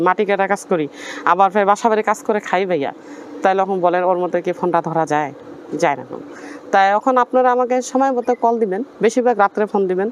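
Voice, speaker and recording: A woman's voice: a little high, clear, resonant tone. A woman with a Bangladeshi accent making a personal appeal in a pleading, insistent manner. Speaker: interviewee telling own life story.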